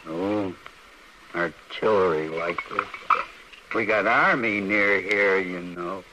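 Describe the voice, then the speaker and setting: A slow, low male voice on a slightly hissy vintage radio recording. It is a weary frontier man, guarded and stubborn, talking in clipped western-drama lines. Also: dull audio. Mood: tired, guarded. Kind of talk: old-time radio western acting.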